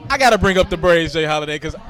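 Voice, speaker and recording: A man's voice: fairly high pitch, rapid-fire, music in the background. A man hosting an interview, eager and constantly affirming his guest. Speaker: interview host. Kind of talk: casual interview, show promo.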